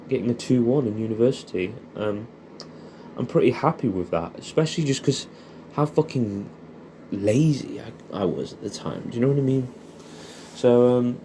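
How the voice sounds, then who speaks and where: A man's voice: medium pitch, warm tone, a little hiss or hum. A man with an English accent, rambling and sweary in a casual, matey, intimate monologue as he reminisces to an old friend. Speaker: audio storyteller addressing an old friend.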